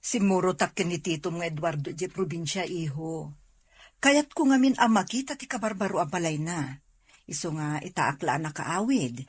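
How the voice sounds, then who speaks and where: Actress as a husky, gentle mother. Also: lowish voice, moderate pace, no echo. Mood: affectionate. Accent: Ilocano. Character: gentle mother talking to her child. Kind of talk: Ilocano radio drama acting.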